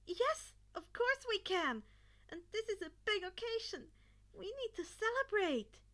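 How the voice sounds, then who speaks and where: A woman voicing a kind, worried, loving mother of a teenager, gentle and reassuring, in a fan fiction audiobook. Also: extremely high pitch, big pitch swings, measured pace, pitched above the performer's own voice, exaggerated voice. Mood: cheerful, reassuring.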